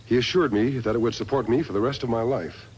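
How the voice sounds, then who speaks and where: An actor playing a steadfast, noble western hero in a 1950s radio drama, measured, earnest and authoritative. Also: male voice, medium pitch, a little mellow, slightly rough. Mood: reading aloud. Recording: noisy vintage 1950s radio recording.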